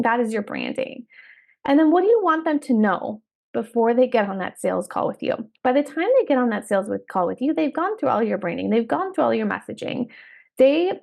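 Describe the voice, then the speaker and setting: Slightly hoarse woman podcast host. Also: medium pitch. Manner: upbeat, direct coaching talk with restarts. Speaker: podcast host and career coach trainer. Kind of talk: solo podcast talk.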